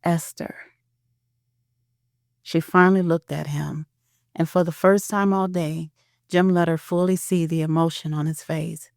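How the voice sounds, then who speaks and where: A woman's voice: deep voice, steady tone, normal speed, creaky, no room sound, studio quality. A woman narrating a scripted audio drama as storyteller.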